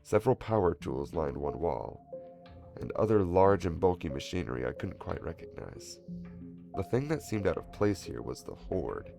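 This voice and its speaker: A man with a gravelly voice, reading a scripted first-person horror story in a dramatic way. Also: low voice, sing-song, moderate pace, music in the background.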